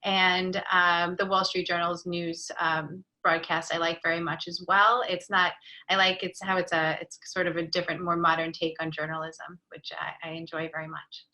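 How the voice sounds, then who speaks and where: A woman giving conversational expert advice on a panel, in a measured, articulate, instructive manner. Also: on the low side, level tone, pushed and bright, rough recording. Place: online video call.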